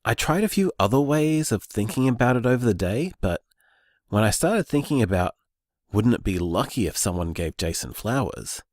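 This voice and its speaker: A man's voice: medium pitch, moderate pace, no room sound, clean studio sound, natural voice. An actor playing an earnest young man discovering luck magic, talking in a thoughtful, musing way. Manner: thoughtful, musing, lively delivery. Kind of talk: audio drama, voice-message monologue.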